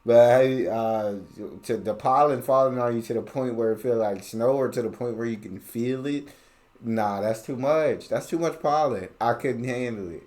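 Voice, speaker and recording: A man with an American accent, hosting a reaction video, commenting in a relaxed, emphatic, casual way. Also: medium pitch. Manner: relaxed, emphatic, drawn-out asides.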